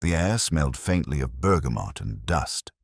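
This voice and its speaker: A man with an extremely deep voice narrates a detective story. His delivery is measured and literary, with a brooding air and plenty of melodic movement. The sound is dry and free of room. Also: sing-song, gravelly, slightly rough, no echo. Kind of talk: scripted first-person detective story narration.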